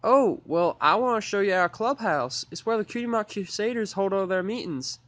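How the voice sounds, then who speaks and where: Man voicing an eager, high-pitched little country filly. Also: expressive, moderate pace, a bit bright. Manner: pleading, earnest, folksy country grammar. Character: eager, earnest young female pony filly, country-raised.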